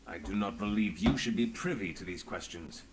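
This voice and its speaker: An actor playing an authoritative, guarded inspector in a video game, speaking in a formal, measured and commanding manner. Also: male voice, low-pitched, noisy background, lightly acted. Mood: stern. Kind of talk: scripted video game acting.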